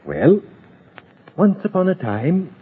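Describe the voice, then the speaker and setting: An actor playing a gentle, indulgent father telling a bedtime story in a 1930s radio drama. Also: high voice, wildly swooping pitch, breathy edge, full character voice. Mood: gentle, playful. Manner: playful, coaxing, drawn-out storytelling. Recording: tinny old radio recording.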